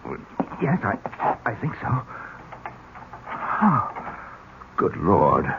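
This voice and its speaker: Scornful, low-voiced thirtysomething hothead. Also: male voice, huge pitch swings, very slow, heavily acted. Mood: uneasy, shaken. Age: 30s. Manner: sarcastic, impatient. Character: scornful, short-tempered, guilty man in his thirties. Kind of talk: 1970s radio drama acting. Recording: tinny, faintly noisy old radio recording.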